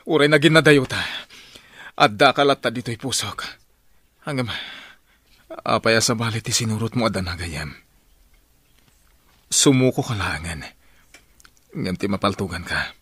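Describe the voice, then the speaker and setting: An actor with an Ilocano Filipino accent playing an earnest, emotional friend who pleads with a fugitive in a radio drama. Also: male voice, fairly low pitch, measured pace, long pauses, a bit bright, slightly rough. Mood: earnest pleading. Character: earnest male friend urging a fugitive to surrender and repent. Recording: old AM radio drama, music underneath. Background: dramatic music.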